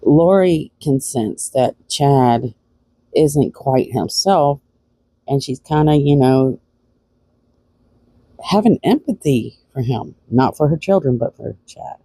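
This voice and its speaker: A woman's voice: a bass voice, animated, slow pace, mellow, husky, no room sound. A woman hosting a true-crime show, giving casual analytical commentary.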